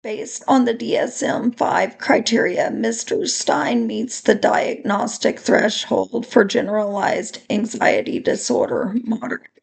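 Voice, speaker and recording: A woman with a raspy voice from a recent illness, slowly reading through a clinical case study presentation with careful small restarts. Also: on the high side, steady tone, low-quality recording.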